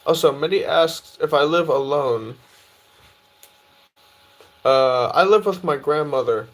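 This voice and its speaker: A man's voice: a little high, slow pace. Young man chatting on a live stream. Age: 20s. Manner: relaxed, chatty, answers viewer questions aloud. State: tired. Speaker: livestreamer reading chat. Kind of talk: casual live stream chat.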